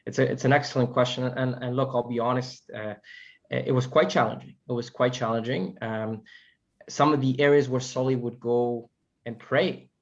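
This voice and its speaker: A man whose native language is Farsi, answering earnestly on an online panel, haltingly and often repeating his phrases. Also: medium pitch, fairly even intonation. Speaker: panelist, family advocate. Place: online video call. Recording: dull, lo-fi call audio.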